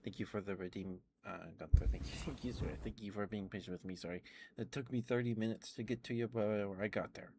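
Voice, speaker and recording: A man streaming ASMR, whispering slowly and softly close to the microphone and repeating gentle praise. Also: lowish voice, fairly even intonation, background noise.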